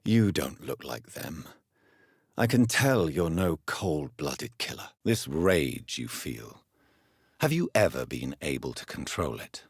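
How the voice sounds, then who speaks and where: An actor playing a refined, brooding doctor turned vampire in a video game, formal and articulate, with a deep, husky voice. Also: male voice, big pitch swings, slow pace, dry recording, studio quality, heavily acted. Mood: measured, grave. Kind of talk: dramatic video game voice acting.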